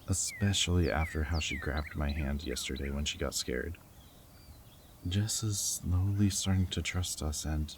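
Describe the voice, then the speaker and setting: Casting: male actor as goofy, loving young rebel lab scientist, very deep voice, earnest and rambling, intimate audio roleplay with noticeable background noise. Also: a little mellow. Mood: tender, anxious. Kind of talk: intimate scripted roleplay audio drama, speaking to the listener.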